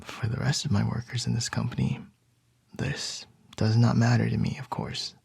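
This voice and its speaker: A man voicing a commanding male CEO boss in an ASMR roleplay, speaking in soft, measured whispers. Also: mid-range voice, gravelly, slightly rough, no room sound, full character voice.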